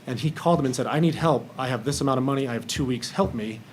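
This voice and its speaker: A man's voice: mid-range voice, quick pace, slight background noise. A man speaking candidly as a film panelist in a roundtable discussion, acting out bits of remembered conversation.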